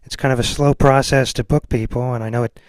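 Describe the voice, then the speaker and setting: A man's voice: medium pitch, steady tone, fast talker, clean tone, no echo. Man, podcast host, casual chat, easygoing, agreeable, very little room sound.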